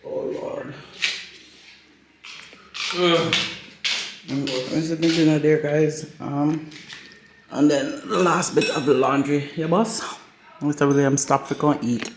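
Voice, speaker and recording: A Jamaican man chatting casually to camera in his home vlog, hoarse as he loses his voice. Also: on the high side, fairly even intonation, slow, long pauses. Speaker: vlogger. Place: family home in the countryside. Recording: echoey room with background noise.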